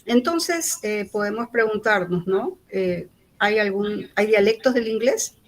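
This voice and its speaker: A woman's voice: medium pitch, lively intonation, unhurried. A woman from Peru with a Lima accent, giving a university lecture in an explanatory way, often checking in with the audience through tag questions. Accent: Lima Spanish.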